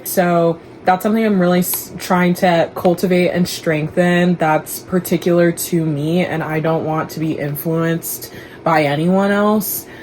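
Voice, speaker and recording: Low-voiced chatty young woman vlogging. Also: slight background noise. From Dallas, Texas. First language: Igbo. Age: young adult. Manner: chatty, candid, rambling. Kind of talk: casual vlog chat to camera.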